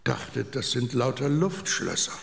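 An older man playing a sceptical, easily astonished grandpa in a children's audio drama, with a creaky voice. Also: medium pitch, unhurried, slight background noise. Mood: bewildered, amazed. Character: grumbly, astonished old grandpa, sceptical but imaginative.

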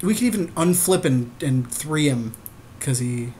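A man's voice: a little high, lively intonation, a little slow, a little mellow. A man giving casual, jokey, slangy commentary on a game as a podcast co-host. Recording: noisy amateur recording.

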